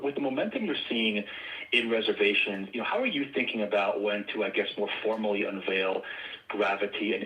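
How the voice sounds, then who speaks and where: A man asks a question as an analyst on a conference call. His voice is somewhat low with a bright, tense edge. He phrases things tentatively, in short halting pieces. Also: low-quality recording. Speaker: financial analyst caller.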